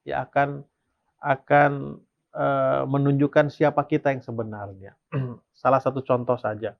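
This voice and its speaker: A man's voice: mid-range voice, little pitch movement, a little slow, creaky, muffled recording. A man teaching a Sabbath school lesson, reading passages aloud and then explaining them, often asking a question and answering it himself. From Indonesia. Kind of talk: Bible study lesson.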